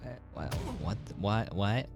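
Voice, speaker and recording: A man's voice: fairly low pitch, expressive, slow pace, creaky, lo-fi sound, over music. A young man giving casual gameplay commentary, muttering as he thinks aloud. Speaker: gamer commenting on a playthrough.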